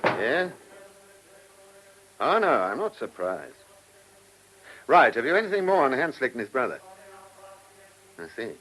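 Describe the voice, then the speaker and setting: An actor playing a shrewd, gruff British police chief inspector in a radio play, with colloquial, musing speech. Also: male voice, mid-range voice, sing-song, measured pace, long pauses, fairly bright tone, slight background noise, poor audio. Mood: brisk. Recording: old radio drama recording.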